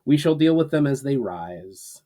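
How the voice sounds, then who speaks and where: A man's voice: mid-range voice, lively intonation, warm tone, lightly acted. Man reading as solemn, earnest orator leader. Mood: hopeful, earnest. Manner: measured, declamatory oratory. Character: earnest, solemn male leader addressing assembled armies. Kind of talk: dramatic storybook reading.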